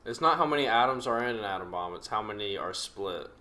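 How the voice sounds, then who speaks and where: Young male co-host, bright voice, dry humor. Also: on the low side, animated, fast talker. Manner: dry, joking. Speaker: podcast co-host. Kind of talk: casual chat.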